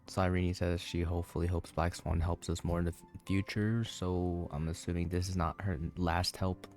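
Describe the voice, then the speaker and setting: A man gives casual commentary as he plays through a game. His voice is deep and gravelly with fry. Faint music runs behind him. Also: level tone, normal speed, a little mellow. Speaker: gaming video host.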